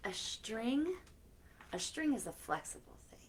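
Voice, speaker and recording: A woman's voice: on the high side, big pitch swings, measured pace. A woman hosting a children's library story time, reading picture books aloud expressively with chatty asides, on a noisy live-stream recording.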